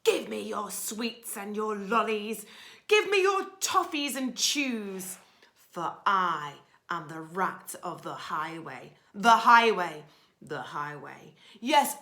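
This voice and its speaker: A woman voicing a boastful, greedy male rat highwayman from a rhyming storybook, with loud theatrical commands. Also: gravelly, full character voice. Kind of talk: rhyming children's storybook villain.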